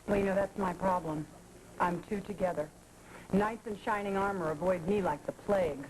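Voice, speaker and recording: A woman's voice: medium pitch, normal speed, background noise, natural voice. An actress playing a poised, flirtatious professional woman in a 1980s daytime soap, talking in a teasing, self-assured way. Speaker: soap opera character.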